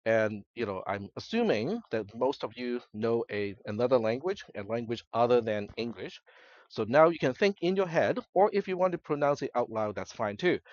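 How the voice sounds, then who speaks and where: A man's voice: medium pitch, no echo, muffled recording. A man whose first language is Cantonese, giving a classroom lecture in a conversational way and often checking in with the students. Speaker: college instructor.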